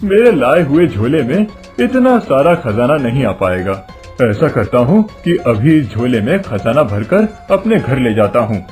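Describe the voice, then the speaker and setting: A greedy woodcutter schemes out loud, his voice rising and falling in big lively swings, as a man plays him. He comes across as excitable and grasping in a children's cartoon. Quiet background music sits underneath. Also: mid-range voice, measured pace. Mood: greedy excited. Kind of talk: cartoon storybook voice acting.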